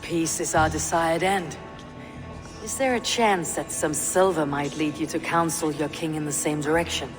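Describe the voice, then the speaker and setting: A woman's voice: low voice, fairly even intonation, normal speed, close to an everyday voice. A woman plays a level-headed Viking warrior in a historical game. She speaks directly and with measure in a reverberant hall over music. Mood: diplomatic. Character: steady, diplomatic Viking warrior. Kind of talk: dramatic video game acting.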